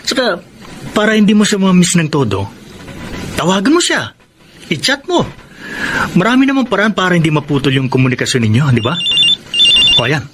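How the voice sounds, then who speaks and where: An actor playing an easygoing, teasing young Filipino best friend, casual and encouraging, in a radio drama. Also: male voice, high voice, big pitch swings, a little fast, long pauses, fairly bright tone. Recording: clear background noise.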